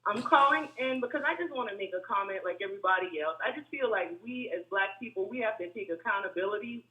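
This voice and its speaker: A woman calling in to a talk show, speaking in a monotone, hard-edged voice, earnest and steady. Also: medium pitch, fast talker. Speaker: caller. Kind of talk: phone-in comment. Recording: tinny phone line.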